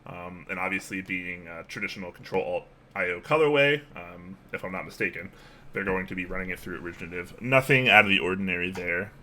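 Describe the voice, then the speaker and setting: A man co-hosting a casual keyboard podcast, relaxed and upbeat, with plenty of fillers. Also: sits low, a little fast. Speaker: podcast co-host. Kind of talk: casual chat about keyboards.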